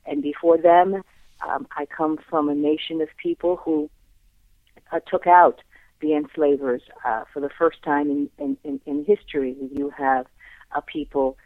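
A Haitian-born woman, a guest on a radio interview, speaking earnestly and reflectively and pausing to find her words over the phone. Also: sits low, no echo. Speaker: guest, playwright and human rights attorney. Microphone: phone line. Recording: tinny dry phone line.

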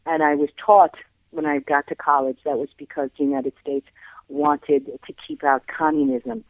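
A Haitian-born woman, a guest on a radio interview, speaking earnestly and reflectively and pausing to find her words over the phone. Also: low-pitched, dry recording. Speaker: guest, playwright and human rights attorney. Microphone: phone line. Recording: tinny dry phone line.

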